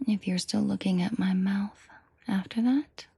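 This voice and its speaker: A woman playing a commanding, protective and seductive mafia boss in an intimate audio roleplay, speaking in a breathy, controlled murmur that often drops to a half-whisper. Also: mid-range voice, fairly even intonation, warm tone, no room sound, heavily acted. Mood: teasing, seductive. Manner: slow, deliberate, controlled murmur addressed closely to listener.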